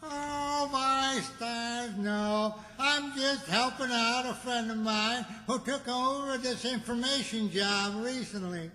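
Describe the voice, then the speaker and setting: A man's voice: very high voice, slow pace, few pauses, pushed and bright, exaggerated voice. A man playing a cheerfully oblivious, rambling small-town Southern character in old-time radio comedy, chuckly and folksy. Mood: cheerful, chuckling. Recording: echoey hall, long reverb.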